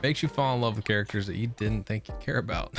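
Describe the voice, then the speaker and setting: Lowish guy streamer reacting to a game, chill slang, rambly but into it, faint music behind. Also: quick pace. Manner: informal, rambling, enthusiastic. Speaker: gaming content creator. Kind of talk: casual gameplay commentary, slangy reactions.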